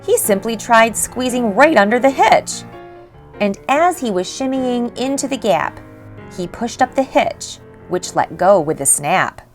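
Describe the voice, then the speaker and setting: A woman reading a rhyming picture book aloud for children in an expressive, measured storytelling style. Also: a little high. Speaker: storybook reader. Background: faint background music.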